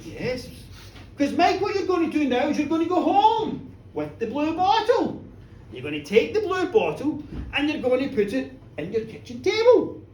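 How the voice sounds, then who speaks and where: A woman storyteller playing a sly wee fairy man who coaxes and quietly menaces in a folk tale. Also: high-pitched, big pitch swings, moderate pace. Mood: sly, coaxing. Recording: echoey live room, distant mic, background noise.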